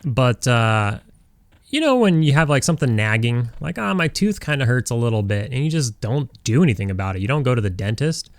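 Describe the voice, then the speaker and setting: A man's voice: mid-range voice, sing-song, a little fast, no room sound. Rambling Californian gamer in his forties. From Southern California. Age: 40s. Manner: rambling, many fillers and restarts. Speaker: gaming streamer. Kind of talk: casual chat while playing a game.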